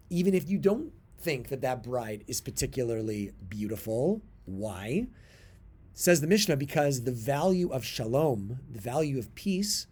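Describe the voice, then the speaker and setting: A middle-aged man giving an explanatory religious lesson, stressing key words deliberately and often pausing to rephrase. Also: mid-range voice, darkish tone. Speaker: religious studies teacher. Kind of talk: explanatory video lesson, reading and translating texts.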